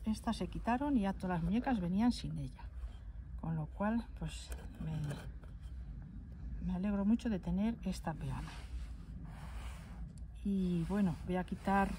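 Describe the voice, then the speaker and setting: A woman's voice: mid-range voice, dark tone. Woman, casual show-and-tell, chatty and affectionate, outdoor garden, faint background hum. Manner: chatty, affectionate, pauses to search for words. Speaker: doll collector showing her collection. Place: outdoors on a stone table in a garden.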